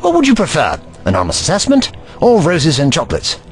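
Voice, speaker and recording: A man's voice: fairly high pitch, wildly swooping pitch, fairly quick, soft background music. A man voicing a haughty, eccentric time-traveling scientist in an audio drama, pompous and lecturing.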